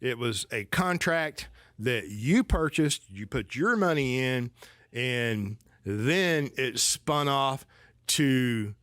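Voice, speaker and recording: A man's voice: medium pitch, animated, slow, a bit bright, dry recording. Rambling Southern man, dry sound. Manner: rambling, restarts sentences, asks "right?" often. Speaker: financial advisor, guest. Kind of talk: casual conversation.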